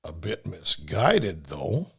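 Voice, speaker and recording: A scheming, flattering royal prince, acted by a man in a Bible radio play. His gravelly, husky voice swoops dramatically as he condescends with oily pauses. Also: sits low, heavily acted. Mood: feigned sympathy. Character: sly, flattering male prince urging a king toward idols.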